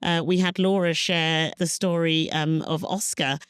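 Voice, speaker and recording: A woman hosts an interview with a somewhat low voice, asking questions in an encouraging way and affirming her guest with soft murmurs. The sound is dry and close, with no room echo. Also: fairly even intonation, measured pace, smooth. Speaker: podcast host. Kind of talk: conversational interview.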